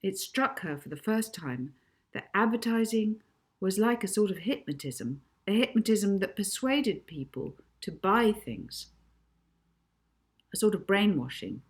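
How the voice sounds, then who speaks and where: A woman reading a children's novel aloud as an expressive, deliberate audiobook narrator. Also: mid-range voice.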